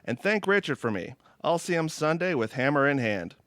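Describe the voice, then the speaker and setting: A hearty jock friend, acted by a man in a radio comedy, brash and upbeat. His mid-range voice is lively and recorded dry and close. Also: fairly high pitch, brisk, no echo. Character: hearty, athletic ex-football-player buddy. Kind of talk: audio comedy acting.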